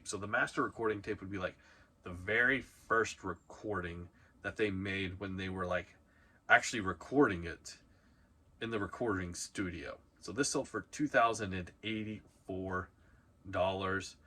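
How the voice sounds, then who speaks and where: A man's voice: deep voice, moderate pace, bright, forceful tone, husky. A man hosting a video, talking through a countdown in a casual, informal way with punchy emphasis. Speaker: host of a reselling video channel. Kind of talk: casual countdown commentary to camera.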